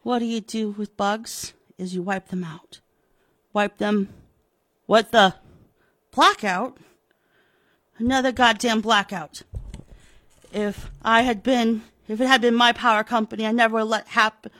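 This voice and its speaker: A woman's voice: medium pitch, fairly even intonation, unhurried, dry recording, studio quality, natural voice. A woman voicing a ruthless, contemptuous rich old businessman with a sneering, arrogant manner, told as a spoken story. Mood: irritated.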